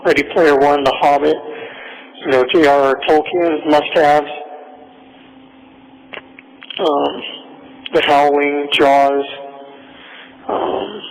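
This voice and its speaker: Man, fairly high voice, gravelly fry, halting casual talk, recorded jail call, faint noise. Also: steady tone, poor audio. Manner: halting, hesitant phrasing full of ums. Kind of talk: casual phone conversation.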